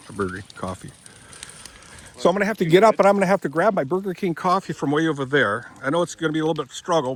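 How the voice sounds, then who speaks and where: A man's voice: mid-range voice, slight background noise. Rambling man in his seventies, chatting outdoors. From Michigan, USA. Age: 70s. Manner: chatty, wandering, corrects himself often. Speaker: vlogger. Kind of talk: rambling casual vlog chat. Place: outdoors on rural land.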